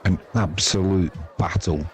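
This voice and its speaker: A man's voice: low-pitched, measured pace. An older British man doing casual, chatty live cricket commentary in a rambling, conversational way. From United Kingdom. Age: 50s or older. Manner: rambling, conversational, reminiscing between calls. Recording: crowd noise behind the voice. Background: sports crowd.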